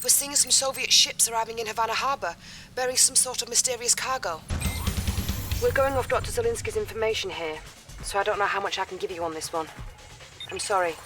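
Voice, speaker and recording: A woman's voice: fairly high pitch, fairly quick, sharp tone. An actress playing a young, earnest female military lieutenant giving mission briefings in a video game. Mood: earnest, businesslike. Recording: dramatic music under the voice.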